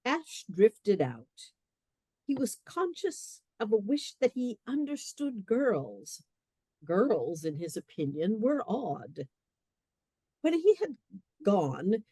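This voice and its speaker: A woman narrates a radio play from a script. Her voice is mellow and moves freely up and down in pitch, recorded dry with little room sound. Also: breathy edge, dry recording.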